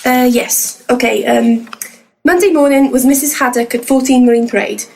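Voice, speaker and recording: A woman playing a keen but exasperated young assistant to ghost hunters in a comic audio drama, brisk and indignant. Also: high-pitched, steady tone, vocal fry, darkish tone. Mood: businesslike.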